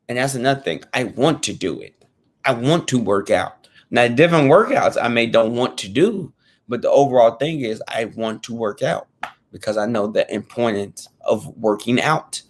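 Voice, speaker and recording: A man in his thirties speaks to camera in an easygoing, earnest vlog style. He loops back and restates his points, leaning on informal slang. His voice sits at an ordinary middle pitch. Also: animated, normal speed. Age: 30s. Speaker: fitness vlogger. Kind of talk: casual talk to camera.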